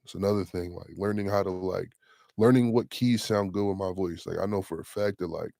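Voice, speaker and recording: A young man in his twenties, a rapper with a deep voice, talking casually and loosely in an interview. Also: fairly even intonation, gravelly, dark tone, no room sound, studio quality. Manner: laid-back, slangy, drawn-out affirmations. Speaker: rapper, guest.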